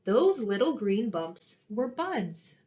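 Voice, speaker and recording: A woman in her thirties, an elementary teacher reading a storybook aloud and giving instructions in a clear, expressive way for young children. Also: a little high, takes it slowly. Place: small indoor classroom-like room.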